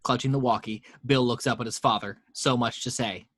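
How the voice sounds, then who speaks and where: A man's voice: medium pitch, fairly even intonation, a little fast, fairly bright tone, husky. A man reading the action lines of a screenplay aloud at a home table read, steadily, voicing the sound effects. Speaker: narrator reading action description.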